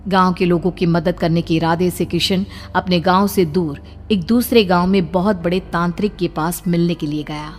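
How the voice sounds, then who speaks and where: Lowish-voiced woman narrating horror stories. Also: fairly even intonation, moderate pace, few pauses, big-room sound, faint music behind. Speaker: storyteller. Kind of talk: dramatic scripted horror-story narration.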